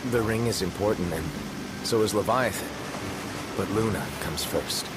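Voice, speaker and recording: Man playing low-voiced, laconic young prince. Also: moderate pace, close to an everyday voice, rough recording. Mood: quietly resolved. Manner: terse, understated, casual. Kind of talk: video game cutscene acting. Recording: voice over loud game music.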